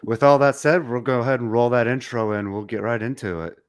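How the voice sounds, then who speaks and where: A man co-hosting a casual chat show, hoarse from a sore throat, talking quickly with frequent restarts. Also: medium pitch. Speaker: podcast co-host.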